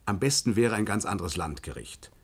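An actor playing an earnest, concerned legal official in a German radio play, formal, appealing and a little hesitant. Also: male voice, fairly low pitch, animated, fairly quick, breathy edge, full character voice. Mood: concerned, urging.

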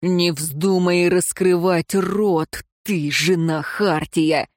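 A woman's voice: medium pitch, no echo, clean studio sound, lightly acted. A woman playing a furious jealous male warden. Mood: low menacing. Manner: slow, growling threats.

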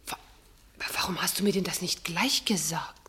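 An actress playing a cornered, anxious woman suspect in a German radio mystery, with a husky voice and emphatic, dramatic delivery. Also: on the low side, moderate pace, bright, forceful tone, full character voice. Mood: alarmed, protesting. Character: cornered female suspect anxiously defending herself.

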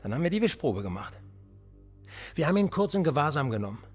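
A man's voice: fairly high pitch, fast talker, faint music behind. An actor playing a cool, cynical male police interrogator in a radio drama, smug and needling. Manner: smug, needling, lively intonation.

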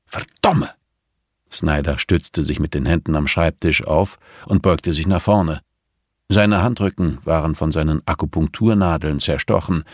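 A man's voice: a bass voice, darkish tone, no room sound, studio quality. A man narrating scripted fiction as an audiobook reader.

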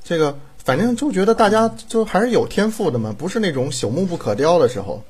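A man's voice: high voice, animated, fast, a little mellow, breathy edge. A man chatting casually as a drama director, rambling and repeating himself for emphasis. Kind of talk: casual chat.

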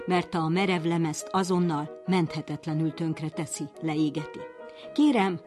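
A woman's voice: lowish voice, a little mellow. A woman reading a written warning message aloud as a scripted radio insert. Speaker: reader of quoted texts. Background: music.

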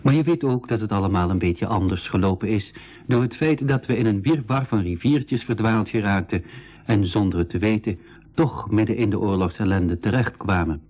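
Husky, low Flemish storyteller played by a man. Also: normal speed, close to an everyday voice. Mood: measured. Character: adventurer narrator recounting his group's journey. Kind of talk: scripted radio play narration. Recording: dull 1980s radio recording.

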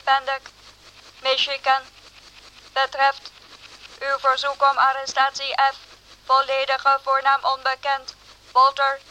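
An actress playing an officious police dispatcher in a radio drama, reading formal reports precisely and impersonally. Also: female voice, high voice, pushed and bright, a little hiss or hum, low-quality recording. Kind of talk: radio drama, flat bureaucratic read-out.

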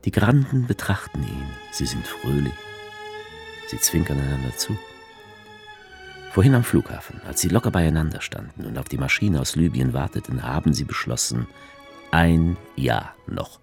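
A sardonic male writer telling his own journey in a radio play, his voice sitting very deep and gravelly. He speaks with a lively, rising and falling melody, and a music score runs underneath. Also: moderate pace, full character voice. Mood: wry. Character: male writer travelling to Tripoli, wry and sardonic. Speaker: narrator as author character. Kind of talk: radio drama narration.

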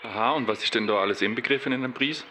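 A man's voice: medium pitch, rapid-fire, bright, forceful tone. A man, talking conversationally as an interviewer. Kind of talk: conversational questioning. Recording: clear background noise, clicks.

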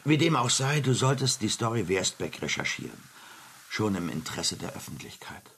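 A cynical middle-aged businessman in a radio drama. His voice is very low and gravelly, with lively swings of intonation. He speaks with measured, condescending insinuation, as someone used to steering others. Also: heavily acted. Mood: calculating. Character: powerful, cynical, manipulative businessman Max, cool and condescending. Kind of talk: German radio drama acting.

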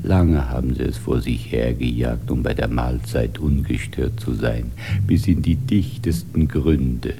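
An actor playing an ancient, brooding male bear who speaks slowly, gravely and with mockery in a radio play. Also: extremely low pitch, warm tone, slight background noise, full character voice. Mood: scornful. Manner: slow, grave and mocking.

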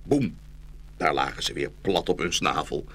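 A man's voice: very deep voice, animated, moderate pace, fairly bright tone. A man narrating a scripted fairy tale for children as the storyteller of a radio play.

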